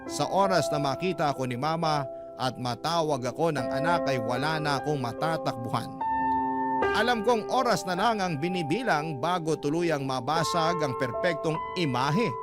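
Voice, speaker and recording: A man telling a heartfelt love story in an earnest, emotional way, his voice sitting a little high. Loud background music plays under every line as he narrates in the first person for a radio show. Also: normal speed. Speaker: radio host reading a listener's letter. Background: heavy background music.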